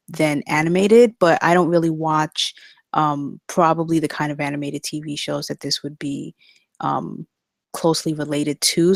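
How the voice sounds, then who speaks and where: A woman with a low voice offers informal feedback on a read-through. She is polite and picks her way through her points a little hesitantly. The recording is dry. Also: vocal fry, no echo. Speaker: narrator in a script table read, giving feedback.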